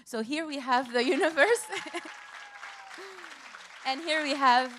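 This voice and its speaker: A woman in her thirties from Lebanon, giving a hurried, passionate conference talk from a stage with slides, repeating key phrases. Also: high voice, a crowd in the background, noisy background. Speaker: conference speaker.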